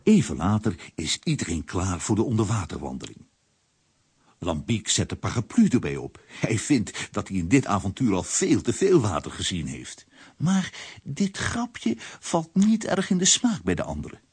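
A storyteller in a children's audio play. His low voice is very raspy and rises and falls expressively. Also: wildly swooping pitch, normal speed, a little mellow, full character voice. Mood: storytelling. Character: storyteller narrating an underwater adventure for children. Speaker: narrator.

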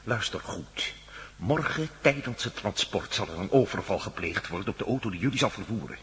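An actor playing a secretive, insistent conspirator in a radio play, giving curt instructions. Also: male voice, on the low side, lively intonation, fairly quick, fairly bright tone. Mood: secretive, firm. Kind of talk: Dutch radio play acting. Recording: reverberant room, radio drama.

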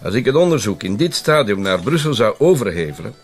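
A man's voice: fairly low pitch, sing-song, fairly quick, husky, soft background music, heavily acted. A man with a Flemish accent acting an affable, conspiratorial police colonel in a radio drama, often chuckling. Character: genial senior police colonel, confiding.

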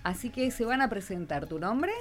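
A woman's voice: medium pitch, lively intonation, moderate pace. A woman with an Argentine accent from Buenos Aires, hosting a casual radio interview in a chatty, encouraging way. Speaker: radio host and teacher. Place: radio studio.

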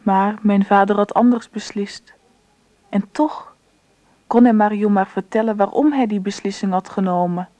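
A woman's voice: medium pitch, fairly even intonation, normal speed, dark tone, close to an everyday voice. An actress playing a reflective grown daughter who narrates her childhood memories in a radio drama. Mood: wistful.

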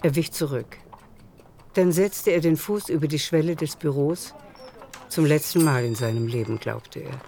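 A woman's voice: low-pitched, moderate pace, gravelly, mellow. A woman narrating a literary radio drama in scripted prose.

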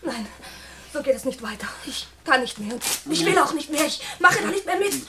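An actress playing a young housemaid, impulsive, distraught and defiant, in a 1960 German radio play. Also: female voice, high voice, lively intonation, a little fast, crowd noise. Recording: echoey, very noisy old radio recording.

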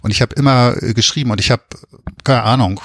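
A man's voice: mid-range voice, fairly quick, fairly bright tone, no echo, clean studio sound. A man in his fifties giving informal, matter-of-fact answers as an interview guest. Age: 50s. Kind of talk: casual interview chat.

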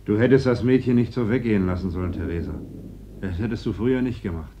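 Actor as a quick, blunt uncle, noisy recording. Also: male voice, fairly low pitch, dull audio, lightly acted. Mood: dry, reproachful. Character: blunt, wily uncle seeking hidden rifles. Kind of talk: German radio play acting. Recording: noisy old radio play recording.

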